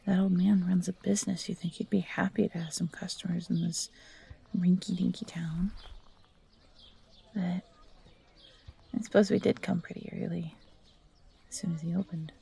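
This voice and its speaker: A woman voicing a young fox girl, timid but eager and grateful, soft and breathy, in a scripted audio roleplay. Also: lowish voice, level tone, normal speed, pauses often, heavily acted. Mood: sheepish, weary, then mildly grumbling, upbeat.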